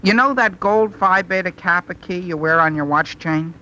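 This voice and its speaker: Teenage boy, high-pitched, sharp bright tone, eager, pleading, stammering, 1930s radio comedy acting. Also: normal speed, dull audio. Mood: earnest, wheedling. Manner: stammering, wheedling, earnest.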